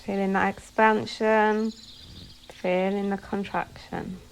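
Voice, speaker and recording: A woman leading a guided meditation as a yoga nidra instructor, giving soothing instructions phrase by phrase with pauses. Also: mid-range voice, level tone, gravelly, a little hiss or hum, rough recording.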